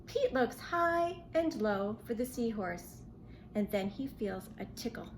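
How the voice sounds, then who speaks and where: A woman reading a picture book aloud to young children in an expressive, questioning way. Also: on the high side, unhurried, slight background noise, poor audio. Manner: expressive, asks the listener questions. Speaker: children's storybook reader.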